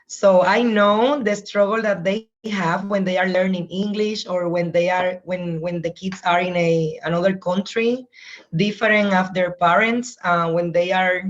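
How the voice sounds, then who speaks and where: A woman's voice: lowish voice, fairly even intonation, a little slow, dull audio. A woman from Puerto Rico with a Puerto Rican Spanish accent, a native Spanish speaker, introducing herself as a program coordinator on a video call, searching for words in English.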